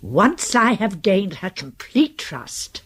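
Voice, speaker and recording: Husky, swooping genteel British spinster sleuth. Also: female voice, medium pitch, big pitch swings, takes it slowly, fairly bright tone, exaggerated voice. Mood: conspiratorial, pleased. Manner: fussy, precise, effusive diction. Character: genteel, eager, crafty spinster sleuth, feigning innocence. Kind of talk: British radio drama acting.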